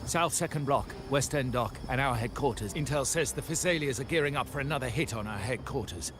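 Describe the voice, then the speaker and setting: A man plays a resolute crime-family commander from a video game. His mid-range voice is grim and measured, giving firm, scripted lines. Clear background noise sits behind him. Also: mid-range voice, natural voice. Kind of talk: scripted game drama dialogue. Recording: noisy, outdoor ambience behind the voice.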